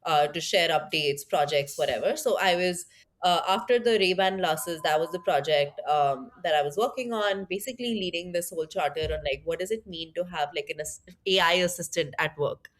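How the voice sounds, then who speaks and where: A woman's voice: lowish voice, normal speed. A woman from India with an Indian accent, speaking thoughtfully as an interview guest, with fillers and restarts. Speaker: guest, tech product leader. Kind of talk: conversational interview.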